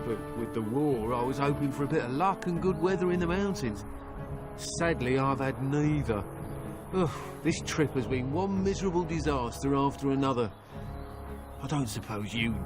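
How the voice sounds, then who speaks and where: An actor playing a nervous, chatty merchant who rambles and wheedles over game music. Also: male voice, on the high side, close to an everyday voice. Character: nervous chatty male merchant, middle-aged. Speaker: merchant character.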